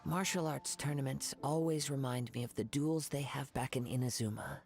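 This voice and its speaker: A man playing a serene young wandering poet swordsman in an anime video game, speaking in measured, formal, poetic phrases. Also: mid-range voice, creaky, darkish tone, natural voice. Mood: calm, reflective. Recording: music behind the voice.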